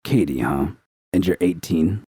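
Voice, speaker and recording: A man voicing a bloated, menacing gang boss who speaks slowly and smugly. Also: low-pitched, dark tone, breathy edge, no echo, studio quality. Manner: slow, smug, threatening.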